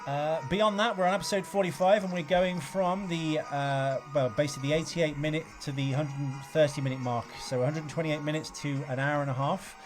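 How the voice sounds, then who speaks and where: Higher-pitched man rambling through a film podcast. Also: fairly even intonation, brisk, few pauses, over music. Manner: rambling, jokey, sweary. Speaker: podcast co-host. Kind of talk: casual comic film chat.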